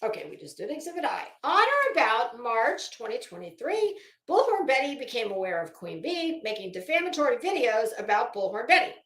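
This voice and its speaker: A woman's voice: fairly high pitch, very animated, brisk, few pauses, a bit bright. A woman hosting a casual livestream commentary, with a sarcastic, mocking, profane way of talking. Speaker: livestream host. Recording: echoey untreated room.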